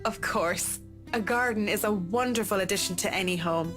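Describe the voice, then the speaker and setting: A woman's voice: medium pitch, normal speed, music in the background, close to an everyday voice. A woman voicing a practical, matter-of-fact young woman in a game. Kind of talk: voiced video game dialogue.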